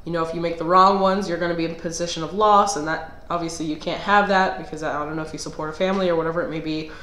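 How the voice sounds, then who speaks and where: A woman's voice: low-pitched, steady tone, racing, fairly bright tone, roomy sound. A woman doing a casual tarot reading who sounds slightly nasal and under the weather, thinking aloud with fillers and restarts. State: under the weather, clearing throat. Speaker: tarot reader.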